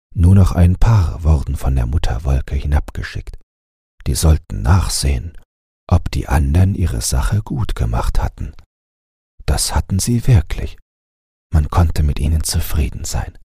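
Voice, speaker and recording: A man with a low, very husky voice reads a children's bedtime tale. His delivery is expressive and unhurried, as a storyteller's. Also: dry recording, studio quality. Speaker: audiobook narrator. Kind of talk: scripted bedtime fairy-tale narration.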